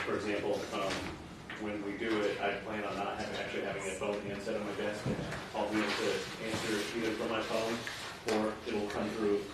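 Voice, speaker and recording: Loud noise, echoey room, flat low-pitched man, halting town official explaining items at a meeting. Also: far from the mic. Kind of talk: informal explanation at a public meeting. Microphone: distant room microphone.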